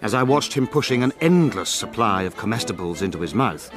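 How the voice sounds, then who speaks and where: An actor playing a proper, dryly disapproving gentleman who narrates a mystery drama in formal, measured phrases. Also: fairly low pitch, expressive, close to an everyday voice. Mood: wry. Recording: music bed behind studio narration.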